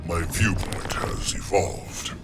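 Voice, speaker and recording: An actor playing an ancient, solemn plant monster guardian of nature in a video game, with a growling, gravelly voice and a formal, ponderous manner. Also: male voice, low voice, takes it slowly. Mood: solemn menace. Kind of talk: video game fight intro dialogue. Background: music.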